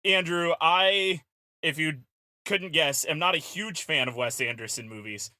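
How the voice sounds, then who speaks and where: A man's voice: a little high, measured pace, bright, forceful tone, no echo, studio quality. A man co-hosting a podcast, giving casual commentary in a conversational way. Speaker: podcast co-host.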